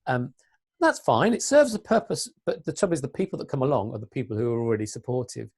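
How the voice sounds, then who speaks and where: Woman, deep voice, English accent, earnest, lots of restarts, interview guest. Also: lively intonation, fast, a little mellow, slightly rough. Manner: earnest, many restarts and fillers. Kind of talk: interview conversation.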